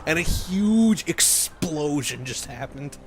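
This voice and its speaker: A man's voice: on the high side, lively intonation, slow pace. A man in casual joking chat as a gaming co-commentator, riffing with an incredulous manner. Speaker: co-commentator playing a video game.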